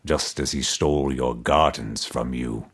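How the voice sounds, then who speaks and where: Picture a dead emperor's skull buried under a tree, speaking in a resonant, toneless voice from far below as a male narrator puts him on. The voice sits well beneath a deep speaking voice, slow and heavy with command. It suits a dark spoken-word fantasy tale. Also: fairly even intonation, breathy edge, exaggerated voice. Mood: cold commanding.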